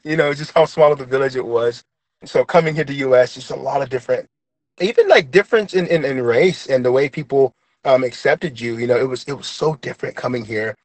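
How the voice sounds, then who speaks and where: A man's voice: mid-range voice, brisk, no echo. A young man who grew up in Trinidad, speaking with a light Trinidadian accent and reflecting earnestly, with lots of fillers and restarts, as an interview guest. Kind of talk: interview, personal story.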